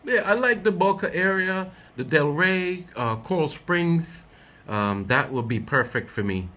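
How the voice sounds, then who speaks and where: A man's voice: fairly high pitch, animated, measured pace, pushed and bright. Man playing a laid-back Jamaican home buyer. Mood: easygoing.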